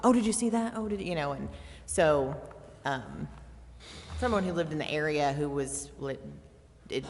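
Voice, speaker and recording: A woman speaking informally as a council member at a public meeting. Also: low voice. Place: city council chamber. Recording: reverberant meeting chamber.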